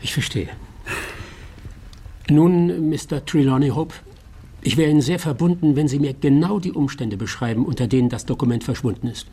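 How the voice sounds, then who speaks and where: An actor playing a composed, courteous master detective in a radio drama, questioning with authority in a dark, husky voice. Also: male voice, fairly high pitch, moderate pace. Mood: cool, inquiring.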